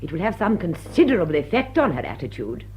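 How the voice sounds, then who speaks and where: An actress playing a haughty, indignant English society hostess with an upper-class British accent, imperious and emphatic in a period radio drama. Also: female voice, lowish voice, very animated, quick pace, a little mellow. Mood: decisive. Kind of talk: period drawing-room radio drama acting. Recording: clear hum and hiss behind voice.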